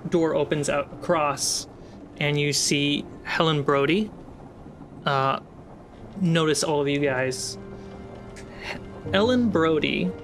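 A man running a tabletop game as game master, narrating scenes in an unhurried way. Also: a little high.

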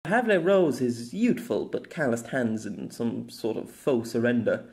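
A man's voice: medium pitch, very animated, unhurried, darkish tone. A man giving a scripted narration of a fantasy story.

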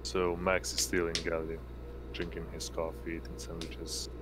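A man with a deep voice runs a tabletop game over an online call. He narrates slowly and haltingly in a flat tone, with clear noise in the background. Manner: halting, hesitant delivery. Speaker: game master.

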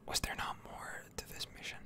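A person whispering ASMR commentary over a video game, rambling a little and repeating words, close to the microphone. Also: fairly quick. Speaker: gaming streamer, ASMR video host.

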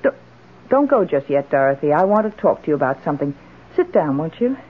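An actress playing a genteel, well-to-do middle-aged widow in a radio drama, gracious and expressive. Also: female voice, low voice, a little fast, a little hiss or hum. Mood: gracious, cheerful. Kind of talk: old-time radio drama acting. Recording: muffled, band-limited old radio broadcast.

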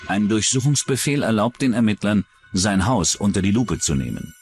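A man's voice: on the low side, animated, normal speed, a little mellow, breathy edge, soft background music. A man reading scripted true-crime documentary narration as the narrator.

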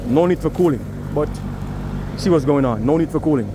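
A man's voice: a little high, animated, fairly quick, noisy background. A man with a New York accent, an HVAC technician, walks viewers through a service call in a casual, step-by-step way while filming outside a house. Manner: casual, explains step by step and addresses viewers directly. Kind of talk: on-the-job video walkthrough. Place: outdoors beside a house at an air conditioner unit.

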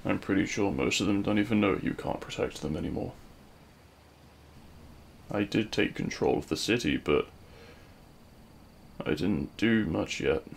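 A man playing a regretful, lovelorn supervillain in an audio roleplay, speaking in a hushed, halting, wistful way. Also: low voice, creaky, a little hiss or hum. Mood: regretful, empty. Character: regretful lovelorn male supervillain addressing his fallen hero. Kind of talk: scripted ASMR audio roleplay monologue.

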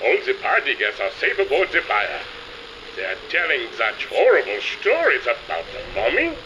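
Male, anxious airship crewman for pulp radio drama, wildly swooping pitch, muffled radio link acted. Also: huge pitch swings, normal speed, background noise. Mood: concerned. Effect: radio filter.

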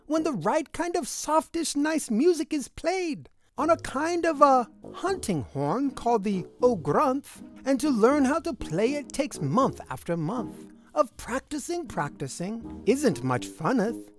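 A woman with a high voice reads rhyming verse aloud for children. Her delivery swings widely in pitch, with a sing-song storyteller's lilt. Light music plays along. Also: sing-song, a little slow, few pauses. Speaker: host reading a picture book aloud to children.

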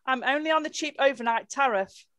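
A woman's voice: high-pitched, a little fast, a bit bright. A woman playing a helpful, down-to-earth pantomime spirit, reading matter-of-factly. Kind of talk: script read-through on video call. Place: room at home, video call.

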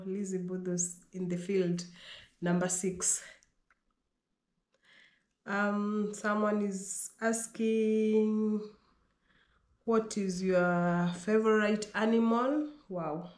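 Kenyan woman vlogging from her bedroom, reads out questions then answers, slow easy pace, bit of room echo, camera a short way off. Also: fairly low pitch, a little mellow. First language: Pokomo. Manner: reads questions aloud, then answers them herself in an unhurried way. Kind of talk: Q&A vlog. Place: small bedroom indoors. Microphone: fixed camera at desk distance. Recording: echoey bedroom.

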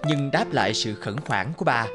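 A man tells a folk tale as a narrator. His delivery is dramatic and highly animated in pitch, with music playing clearly behind him. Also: normal speed. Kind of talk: storybook narration.

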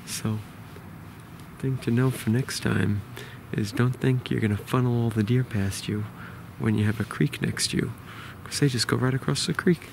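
A man in his forties with a New York accent, quietly explaining a hunt to a close-held camera outdoors in the woods. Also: steady tone, moderate pace, vocal fry, slight background noise. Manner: quiet, low-key explaining. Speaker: bowhunter. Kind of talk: hushed outdoor hunting vlog. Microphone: camera held close to face.

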